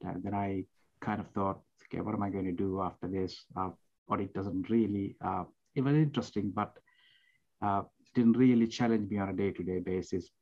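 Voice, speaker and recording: A man's voice: deep voice, darkish tone, lo-fi sound. A middle-aged man from Sri Lanka, a guest accountant, answering interview questions over a video call with many hesitations. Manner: hesitant, many fillers and restarts. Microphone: headset on video call.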